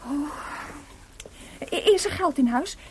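An actress playing a composer's sharp, sceptical, teasing young wife in a Dutch radio drama, with a pointed, expressive delivery. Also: female voice, high voice, takes it slowly, background noise. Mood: nagging, worried.

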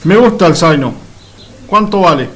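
A man's voice: high voice, lively intonation, moderate pace, a bit bright. A man playing Pedro, a pensive, polite countryman who muses simply aloud in an amateur radio play. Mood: eager, polite. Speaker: amateur radio theatre actor. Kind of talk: radio theatre acting.

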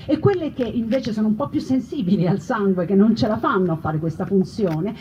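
A woman's voice: medium pitch, lively intonation, a little fast, warm tone. A woman performing a narrative monologue as a storyteller, with emphatic, rhetorical repetition and wry irony. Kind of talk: theatrical narrative monologue on wartime history. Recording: muffled, distant mic with background noise.

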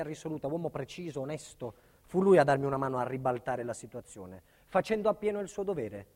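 A man's voice: a little high, darkish tone, lightly acted. An actor playing an earnest, embattled businessman telling his life in a theatrical monologue, with emphatic, dramatic phrasing. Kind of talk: theatrical first-person monologue. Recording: reverberant theatre hall recording.

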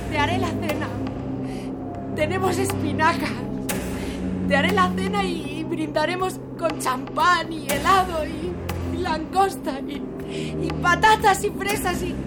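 An actress playing an anguished, evasive, clinging wife in a radio drama, imploring insistently. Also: female voice, very high voice, unhurried, over loud music. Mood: desperate, frightened. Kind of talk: Spanish radio drama acting.

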